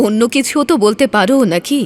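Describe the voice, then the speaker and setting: A woman playing a poet's teasing sweetheart in an audio drama, gently chiding and playful. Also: a little high, fast, natural voice. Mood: irritated, chiding. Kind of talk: Bengali audio drama dialogue. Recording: music under the voice. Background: background music.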